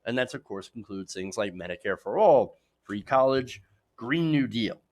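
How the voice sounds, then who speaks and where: A man hosting a progressive political news show, giving emphatic, opinionated commentary straight to viewers while his voice is slightly hoarse. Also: medium pitch, animated, moderate pace, a little mellow.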